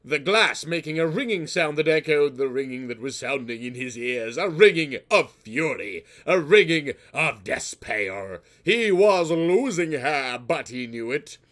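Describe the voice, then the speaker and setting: A man's voice: a little high, expressive, unhurried, hardly any pauses, a bit bright. A man narrating a comic story in a dramatic, mock-serious, melodramatic way.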